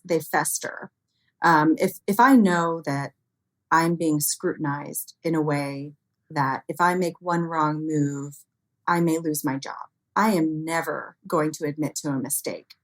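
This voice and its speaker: A woman with a low-pitched voice talks as an expert guest in an interview. She explains ideas conversationally, in informal language, often through everyday examples and quoted reactions. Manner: frequently chuckles, informal, illustrates with acted-out quotes.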